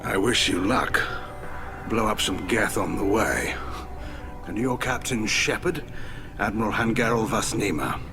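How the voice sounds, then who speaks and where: An actor playing a blunt, wry, middle-aged alien admiral and hawkish war veteran in a video game. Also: male voice, fairly low pitch, measured pace, pauses often. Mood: hearty. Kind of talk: video game dialogue acting. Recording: loud music behind the voice. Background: music.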